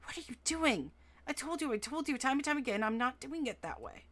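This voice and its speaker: A woman's voice: a little high, animated, moderate pace, sharp tone. A woman voicing a short-tempered young woman with a tail, bitter and swearing in a storybook reading. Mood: hissing.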